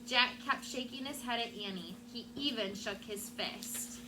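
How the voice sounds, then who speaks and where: High-voiced woman teacher reading aloud. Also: unhurried. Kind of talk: storybook read-aloud with class questions. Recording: clear background room noise.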